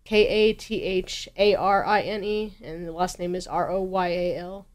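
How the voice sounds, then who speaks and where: A woman's voice: on the low side, fairly even intonation, moderate pace. A woman speaking as an interview guest and campaigner, explaining things in an earnest, emphatic way.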